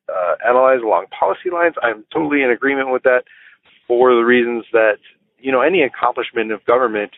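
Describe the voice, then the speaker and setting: An American man leaving a voicemail as a listener, giving informal political commentary in a measured, halting, deliberative way. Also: medium pitch, no room sound. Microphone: phone. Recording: tinny band-limited phone voicemail line.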